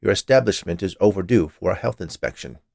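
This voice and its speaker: A man's voice: sits low, lively intonation, fast talker, vocal fry, a little mellow, no echo, studio quality, pitched below the performer's own voice, heavily acted. A man reading a story, voicing an officious, stubborn male health inspector with a curt, pedantic manner.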